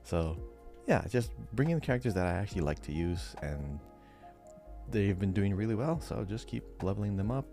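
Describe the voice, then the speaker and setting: A man's voice: lowish voice, lively intonation, moderate pace, dark tone, faint music behind. A man co-hosting a podcast, chatting casually about gaming. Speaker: podcast co-host.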